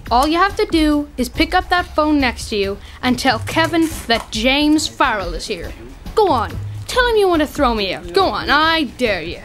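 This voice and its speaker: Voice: sits high, very animated, a bit bright, faint music behind. An actor playing a cocky twelve-year-old boy who needles people in a pushy, smug way in an audio drama. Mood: smug, bossy. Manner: pushy, smug, rapid-fire interrogation.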